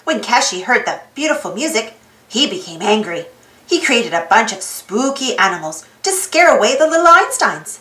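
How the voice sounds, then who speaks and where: A woman reading a storybook aloud to children in an expressive narrating style, inviting young listeners in. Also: on the high side, normal speed, echoey room, rough recording.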